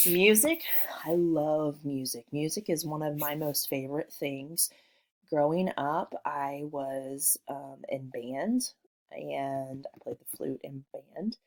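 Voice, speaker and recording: Low-voiced woman teacher, easygoing. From Missouri, USA. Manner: friendly, relaxed, with frequent ums. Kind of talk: recorded remote lesson. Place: working from home.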